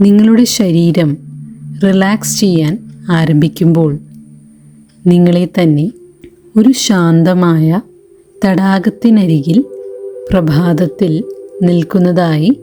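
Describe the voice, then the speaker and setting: A woman leading a guided meditation in a gentle, calm, deliberate way, leaving long pauses between short phrases. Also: fairly low pitch, faint music behind. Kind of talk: slow guided meditation narration.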